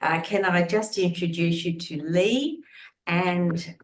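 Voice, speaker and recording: A woman's voice: lowish voice, slow pace, echoey room. A woman leading a video meeting, introducing team members in an upbeat way. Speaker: course lead. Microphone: video call.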